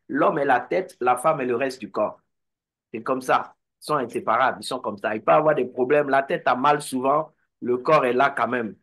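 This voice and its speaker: A man's voice: a little high, creaky, a bit bright. A man giving a motivational talk to an online meeting, exhorting and repeating phrases. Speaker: network marketing speaker.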